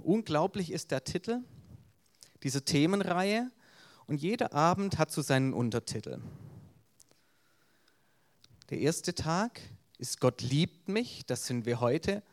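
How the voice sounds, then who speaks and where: A man's voice: fairly high pitch, expressive, measured pace. A man with a Swabian accent, an evangelist giving an earnest evangelistic sermon with storytelling.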